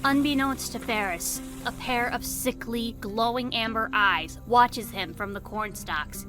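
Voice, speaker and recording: A woman's voice: a little high, unhurried, over music. A woman reading scripted storybook narration for an audio drama.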